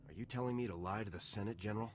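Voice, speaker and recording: An actor playing a dutiful, confident special forces lieutenant in a video game, with a crisp military manner. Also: male voice, fairly low pitch, very fast, a little hiss or hum, close to an everyday voice. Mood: dutiful, guarded.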